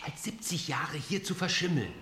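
High-pitched, croaky male pirate sidekick, dry and a little fussy, echoey kids' audio drama. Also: expressive, moderate pace, bright, forceful tone, a little hiss or hum. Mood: wry concern. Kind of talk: children's radio play acting. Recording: echoey scene with music behind.

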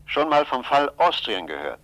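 An actor playing a brash, slangy police detective in a radio crime drama. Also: male voice, medium pitch, big pitch swings, moderate pace, a bit bright, low-quality recording. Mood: needling. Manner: slangy, teasing. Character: brash, needling police detective pitching a case.

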